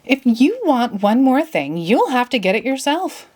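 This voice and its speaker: A woman voicing a polite, earnest young girl from a storybook, gently protesting. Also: high-pitched, big pitch swings, unhurried, voice pushed up, full character voice. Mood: fed up.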